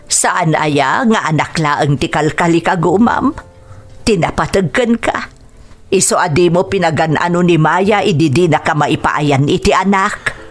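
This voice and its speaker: Low, slow reproachful mother. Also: faint music behind. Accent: Ilocano. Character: concerned older mother gently reproaching her grown son. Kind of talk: Ilocano radio drama acting.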